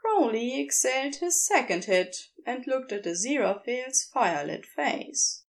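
A woman narrates a fiction story in a fairly high voice. Her reading is slow and measured, with expressive, swooping intonation that suits scripted storytelling. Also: gravelly. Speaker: narrator and reader.

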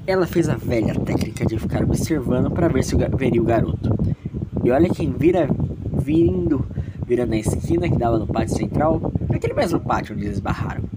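A woman's voice: extremely low pitch, very noisy. A woman with a Brazilian accent narrating an audio story in a dramatic, chatty way.

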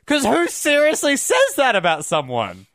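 A man's voice: very high voice, wildly swooping pitch, moderate pace, fairly bright tone, no room sound, clean studio sound. A man co-hosting a film podcast, talking casually in a rambling, jokey way and swearing now and then. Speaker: podcast co-host.

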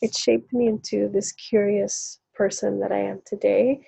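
Gravelly, dark-toned South African woman. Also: mid-range voice, level tone, measured pace, low-quality recording. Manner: rambling and reflective, many fillers. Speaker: podcast co-host. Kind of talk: casual chat.

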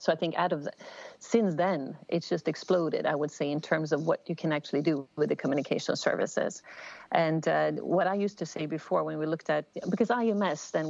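A woman's voice: low-pitched, creaky, a little mellow, no room sound, lo-fi sound. A middle-aged woman from Sweden with a Swedish accent, giving a thoughtful interview answer with many fillers and restarts. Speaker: telecom executive guest. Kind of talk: conversational interview.

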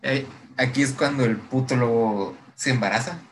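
A man's voice: medium pitch, normal speed, slight background noise. A young man with a Mexican accent co-hosting a podcast, casually recapping a show with heavy slang and swearing. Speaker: podcast co-host. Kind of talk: casual chat recapping a TV series.

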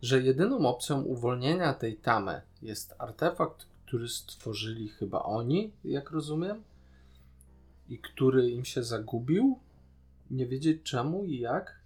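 A man's voice: mid-range voice, lively intonation, measured pace. A man with a Polish accent and a mid-range voice talks as a podcast co-host. His delivery is casual and critical, with an exasperated, disbelieving edge, and faint music sits behind him. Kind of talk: casual critical discussion of a series.

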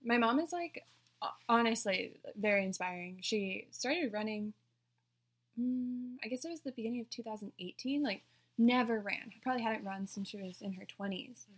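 A young woman with a soft voice, co-hosting a podcast and chatting casually with her friends, telling relaxed stories between giggles. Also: fairly high pitch, moderate pace. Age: young adult. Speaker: podcast co-host. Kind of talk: casual chat among friends.

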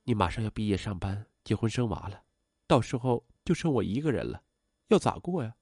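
A man voicing a gentle, hesitant middle-aged mother in a story narration, speaking in a hushed, coaxing way. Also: mid-range voice, animated, moderate pace, no room sound, studio quality. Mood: wistful, sighing. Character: soft-spoken, pleading middle-aged mother, hesitant and bashful. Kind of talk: acted dialogue in audio story narration.

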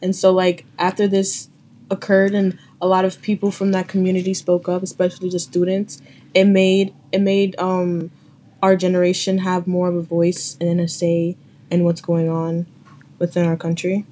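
A woman's voice: lowish voice, monotone, normal speed, mellow. A young woman from the Bronx, speaking hesitantly as a panel guest. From the Bronx, New York City. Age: young adult. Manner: hesitant, searching for words.

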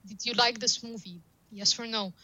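A woman with a bright, forceful, fairly high voice speaks as an interview guest. Arabic is her first language. She explains her professional ideas earnestly and reaches for analogies, in a very dry recording with no room sound. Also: fairly even intonation, moderate pace, no room sound, low-quality recording. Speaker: guest, data science professional.

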